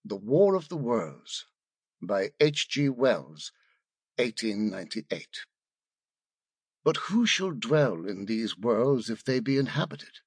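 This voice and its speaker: A man reading an audiobook as a scripted literary narration, in a measured, dramatic delivery. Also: medium pitch, very animated, slightly rough, no echo.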